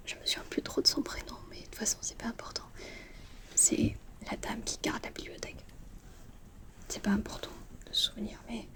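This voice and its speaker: A woman's voice: a little high, exaggerated voice. A woman playing a chatty, mischievous teenage witch student in a whispered ASMR roleplay, talking in a casual, confiding way. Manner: hushed, casual, slangy, confiding.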